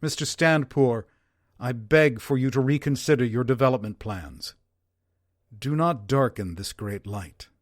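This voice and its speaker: A man playing an earnest, wistful former theater owner from early-1900s Wisconsin, reading a letter in a formal, measured way. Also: medium pitch, dry recording, studio quality, lightly acted. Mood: solemn pleading.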